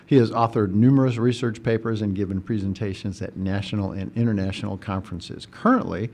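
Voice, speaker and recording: A man's voice: lowish voice, steady tone, fairly quick, a little mellow. A man hosting an education podcast, reading a formal introduction and then chatting with his guest, earnest and friendly with a few fillers. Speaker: podcast host, recruitment coordinator. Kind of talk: scripted introduction and interview.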